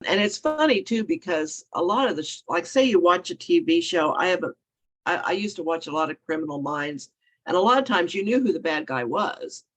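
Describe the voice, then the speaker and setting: Froggy-voiced woman author, hoarse. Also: fairly low pitch, expressive, fairly quick. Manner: rambling, self-correcting, chuckling often. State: hoarse from a cold. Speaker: guest author. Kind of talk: casual interview chat.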